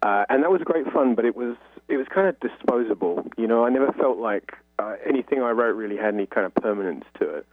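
A man's voice: mid-range voice, lively intonation, fast talker, no echo. A man with a British accent speaking conversationally as an interview guest. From Britain. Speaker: guest author. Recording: tinny, narrow phone line.

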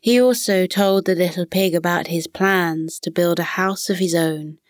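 A woman reading a scripted children's bedtime story as narrator, in a soothing, measured manner. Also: fairly low pitch, fairly even intonation, no echo, clean studio sound.

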